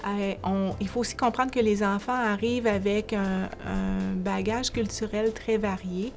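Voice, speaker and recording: A woman educator explaining calmly in a documentary interview. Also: medium pitch, a little slow, gravelly.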